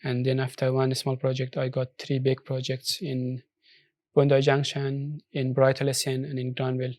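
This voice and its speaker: A man from Afghanistan, Persian his first language, talks through his story in an earnest, halting English that often stops and restarts. His voice sits at an ordinary middle pitch, and the studio sound is dry and close. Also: monotone, darkish tone, no room sound. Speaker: social enterprise founder. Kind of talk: studio interview.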